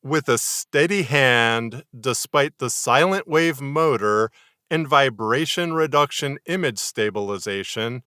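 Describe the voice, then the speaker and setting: A man narrates a scripted lesson on writing in a bright, forceful voice. The sound is very dry and close. He is articulate and measured, stressing his points like a podcaster who writes fiction. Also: medium pitch, clear, resonant tone, dry recording. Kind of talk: scripted instructional narration to camera.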